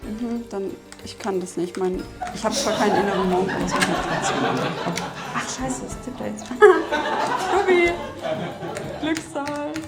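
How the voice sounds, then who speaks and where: A woman contestant on a comedy game show, excitable, swearing and talking to herself as she plays. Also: a little high, unhurried, over loud music, over a noisy crowd. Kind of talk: unscripted game show play.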